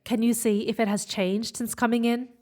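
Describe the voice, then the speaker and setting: A woman's voice: a little high, level tone, normal speed, darkish tone, natural voice. An actress playing a worried young woman in an audio drama, tense and full of urgent questions. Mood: questioning. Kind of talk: scripted audio drama acting.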